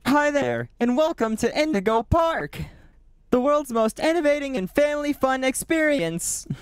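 High robotic raccoon mascot. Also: male voice, very animated, normal speed. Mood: bubbly, upbeat. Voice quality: robotic pitch-tuned. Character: cheerful cartoon raccoon mascot, announcer-like. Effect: pitch correction, robotic stepped tuning. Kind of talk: announcer spiel.

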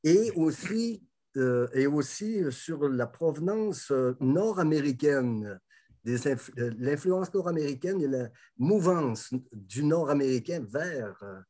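A man's voice: a little high, lively intonation, slow pace, mellow. A man moderating a video call panel, hesitant with many fillers, politely firm when he keeps order.

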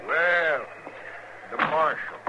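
An actor playing a cocky, unruffled outlaw with a sardonic manner in a 1950s western radio drama. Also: male voice, on the high side, extremely slow. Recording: muffled, noisy 1950s radio recording.

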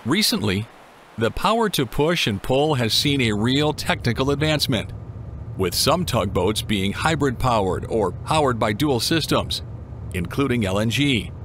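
A man reading a scripted documentary voice-over in a measured, even way, with the occasional odd word slip. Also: mid-range voice, slight background noise. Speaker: documentary narrator. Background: music.

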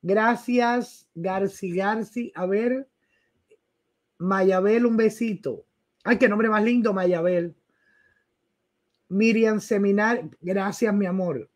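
A woman's voice: mid-range voice, slow pace, long pauses. A middle-aged woman with a Cuban accent hosting a live news show from a small home studio, chatting casually and affectionately while greeting viewers by name. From Cuba. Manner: chatty, affectionate, reads viewer comments aloud. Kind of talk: casual live-stream chat. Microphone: desk microphone.

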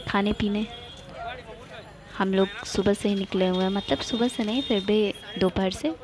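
A young woman from India narrating a casual travel vlog, cheerful and chatty, pointing things out to her viewers. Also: medium pitch. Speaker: vlogger.

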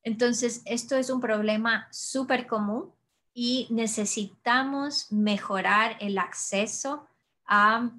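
A woman's voice: a little high, slow. Woman audiologist answering webinar questions. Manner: calm, explanatory, frequent restarts. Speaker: audiologist presenter. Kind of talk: webinar Q&A explanation. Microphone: webcam video call.

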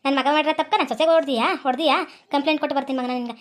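A woman playing a shrill, scolding old village mother-in-law. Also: fairly even intonation, racing, lightly acted. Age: older. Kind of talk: comedy skit acting.

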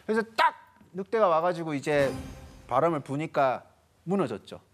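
Animated man lecturing on a TV stage. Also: a little high, normal speed, soft background music. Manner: animated, acts out little quoted voices. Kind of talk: lively lecture to a studio audience. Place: large TV studio stage with audience.

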